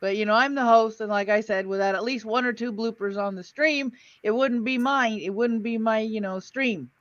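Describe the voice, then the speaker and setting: A woman's voice: a little high, normal speed, no echo, highs cut off. A woman hosting a livestream in casual chat, self-deprecating and a bit salty. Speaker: livestream host.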